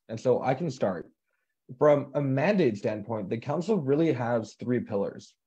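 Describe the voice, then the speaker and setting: Young male moderator, upbeat and encouraging, mellow tone, muffled call audio. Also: medium pitch. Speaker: council chair and moderator. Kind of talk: moderating a live video panel. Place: home room. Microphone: video call.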